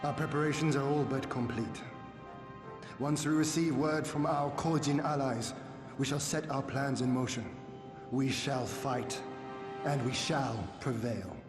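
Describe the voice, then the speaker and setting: An actor playing a resolute young lord in a fantasy game, formal and commanding in his deliberate speech. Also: medium pitch, fairly even intonation, lightly acted. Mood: solemn, resolute. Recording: roomy, long reverb, clear music behind.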